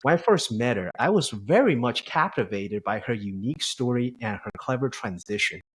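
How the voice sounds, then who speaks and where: A man hosts an interview podcast, with a husky, slightly rough voice. He introduces his guest in admiring, somewhat formal terms, then asks her easy, open questions. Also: medium pitch, lively intonation, normal speed, rough recording. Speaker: interview host.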